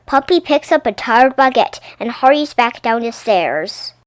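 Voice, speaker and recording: A woman reading a children's picture book aloud as an expressive storyteller, animated and careful with her words. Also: on the high side, measured pace, no room sound.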